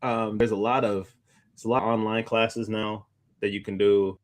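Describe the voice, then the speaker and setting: Lower-voiced man in casual podcast chat. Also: little pitch movement, normal speed. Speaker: podcast co-host.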